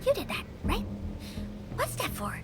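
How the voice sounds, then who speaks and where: A woman voicing a brash, boastful, playful young feline girl in a game, childlike and excitable. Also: measured pace, exaggerated voice. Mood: curious. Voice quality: childlike, high. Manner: cocky, excitable, exclaiming. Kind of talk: anime game voice acting. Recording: loud game music behind.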